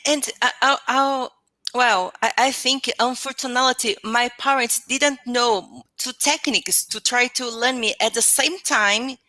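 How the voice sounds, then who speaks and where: A Brazilian woman practicing English, her accent plain and her speech slow and halting as she hunts for words. Her voice is bright, with a dry, close sound and no room echo. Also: fairly high pitch. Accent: Brazilian Portuguese. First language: Portuguese. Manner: halting, searches for words, many restarts. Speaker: English learner. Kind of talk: casual conversation practice.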